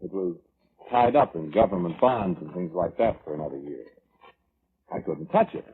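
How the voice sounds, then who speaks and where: An actor playing a defensive, weaselly brother testifying at an inquest, halting and evasive, in old radio drama style. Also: male voice, sits low, expressive, husky, heavily acted. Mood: self-justifying. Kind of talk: 1940s radio drama acting. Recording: tinny, noisy old radio recording.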